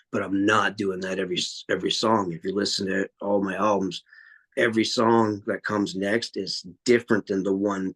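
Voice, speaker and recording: A man's voice: low-pitched, a bit bright, husky. A man, a metal vocalist, talking bluntly and at length in a casual podcast interview, swearing freely. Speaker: metal vocalist and guitarist guest.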